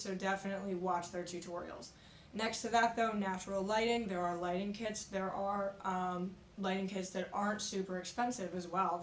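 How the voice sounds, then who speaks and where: A woman with a slightly raspy voice, recovering from being sick, giving frank, practical reselling tips at home in a casual vlog. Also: medium pitch. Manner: frank, practical, conversational with fillers. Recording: echoey room with faint noise.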